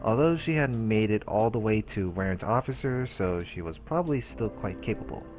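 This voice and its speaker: A man's voice: on the low side, expressive, moderate pace, darkish tone. A man hosting a let's play, reading game narration aloud and adding casual commentary. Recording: clear game music behind the voice. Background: visual novel music.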